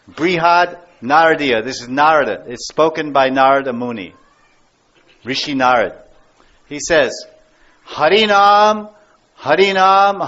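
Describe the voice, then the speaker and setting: A man's voice: fairly high pitch, a bit bright. An American man giving a devotional lecture as a spiritual teacher, speaking emphatically and repeating key words for stress. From United States. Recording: dull lo-fi lecture recording.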